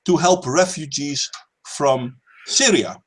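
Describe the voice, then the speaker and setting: A man's voice: a little high, takes it slowly, fairly bright tone, slightly rough. A man hosting a casual stream chat, talking politics emphatically, swearing and repeating words as he starts sentences. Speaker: stream host.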